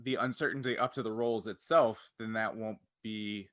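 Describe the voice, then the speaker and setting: Bright-voiced man chatting on a podcast. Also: fairly low pitch, fairly even intonation, normal speed, dry recording, clean studio sound. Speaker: podcast co-host and tabletop game designer. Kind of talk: casual podcast chat.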